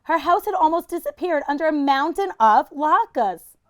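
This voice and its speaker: A woman's voice: high voice, lively intonation, normal speed. A woman reading a children's picture book aloud like a teacher, expressively and with dramatic emphasis.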